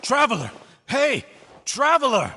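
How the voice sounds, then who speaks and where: A man's voice: very high-pitched, wildly swooping pitch, a little slow, big-room sound, heavy background noise, heavily acted. A man voicing a desperate, haunted convict in an anime game, stammering and pleading. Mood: frantic.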